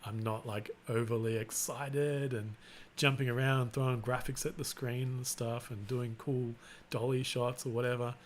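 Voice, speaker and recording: A man hosting a live stream, chatting casually in a flat, rambling way and often restarting his sentences. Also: mid-range voice, moderate pace, a little hiss or hum. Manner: self-described monotone, rambling with restarts. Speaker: live-stream host and content creator.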